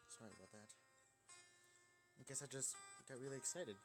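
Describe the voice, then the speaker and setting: A man's voice: medium pitch, normal speed, over music, natural voice. A man voicing an eager, forgiving young male student in a visual novel, earnest and easygoing with slight hesitation. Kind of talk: visual novel character reading.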